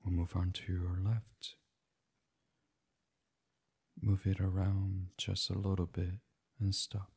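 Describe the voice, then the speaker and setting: A man with a low, heavily breathy and husky voice guides listeners toward sleep. His delivery is very slow, hushed and soothing, often slipping close to a whisper in an ASMR meditation style. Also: monotone.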